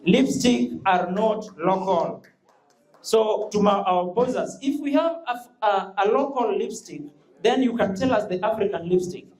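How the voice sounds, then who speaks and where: A young man argues his side in an earnest debate speech, leaning on proverbs. His voice is high and rings around a reverberant hall. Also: measured pace, a little mellow. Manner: earnest, quoting proverbs, pleading for time. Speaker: student debater.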